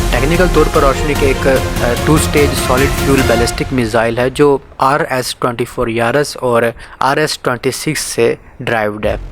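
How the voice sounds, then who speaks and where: A man reads scripted news narration in a formal, measured manner. His pitch sits in the middle range for a man. Music plays behind his voice. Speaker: narrator of a defence news channel. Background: background music.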